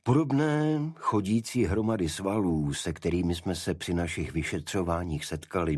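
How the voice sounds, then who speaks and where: A man reading a detective story as a narrator, in a measured, deliberate literary delivery. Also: low voice, slightly rough, no echo, clean studio sound. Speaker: audiobook narrator. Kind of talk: scripted first-person detective story narration.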